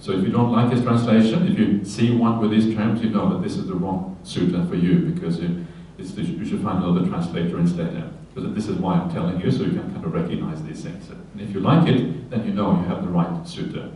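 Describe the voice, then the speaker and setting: A man, a Buddhist monk giving a relaxed dharma talk on a sutta, often checking in with his listeners and adding light humorous asides. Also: low-pitched, fairly quick, slight background noise. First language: Norwegian. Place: retreat hall. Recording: very echoey hall, voice from a distance, faint ambience.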